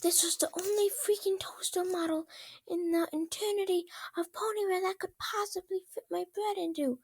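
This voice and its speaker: A young pony princess in a towering rage, played by a woman reading a story aloud. The voice climbs very high as she rants with melodramatic exasperation. It sits dry, with little room around it. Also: fairly even intonation, normal speed, dry recording. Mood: shrill frustration. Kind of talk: amateur fan fiction character reading.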